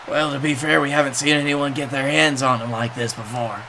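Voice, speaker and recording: A man's voice: medium pitch, steady tone, brisk, background noise. A man giving comic color commentary on wrestling as a co-host, wry and mock-dramatic in manner.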